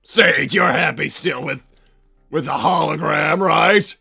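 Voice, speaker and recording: A man voicing a pompous, hot-tempered cartoon villain doctor and strict, doting papa, raspy and blustering, chatting in character on a livestream. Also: a little high, takes it slowly, pushed and bright, faint music behind, exaggerated voice. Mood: patient, fatherly. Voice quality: gravelly, raspy. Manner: blustering, bombastic. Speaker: livestream host.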